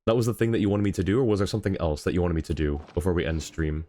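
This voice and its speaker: A man's voice: deep voice, very fast, mellow. A man livestreaming a game in a casual, chatty way, thinking aloud and talking to his chat.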